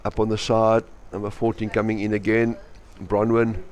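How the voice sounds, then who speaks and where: A man's voice: fairly low pitch, little pitch movement, normal speed, darkish tone. A man with a South African accent, a native Afrikaans speaker, giving live match commentary and cheering on his team. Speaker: sports commentator.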